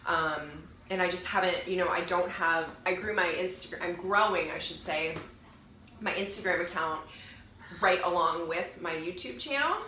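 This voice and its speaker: A woman's voice: lowish voice, pushed and bright. A young woman with a nasal, congested voice from a cold chats casually to camera as a fitness vlogger, rambling and correcting herself. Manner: chatty, rambling, self-correcting. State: getting over a cold. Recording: roomy echo, faint noise.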